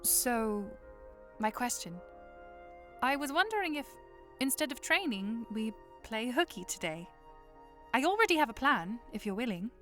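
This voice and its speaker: An actress playing a young princess who is playful, teasing and self-assured in an audio drama. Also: female voice, on the high side, very animated, moderate pace, pauses often, fairly bright tone, faint music behind.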